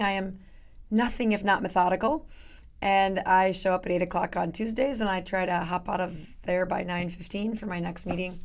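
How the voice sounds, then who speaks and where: Raspy-voiced woman hosting a live show. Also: medium pitch. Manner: chatty, many fillers and laughs. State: losing her voice. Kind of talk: casual live-stream talk.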